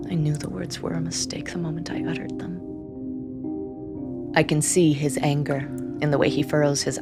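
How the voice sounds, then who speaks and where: A devoted, anxious sister telling a dark fantasy tale in the first person. The woman's voice sits very low and crackles with vocal fry. She narrates with measured intensity over prominent music. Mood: fearful, worried. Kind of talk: dramatic scripted narration.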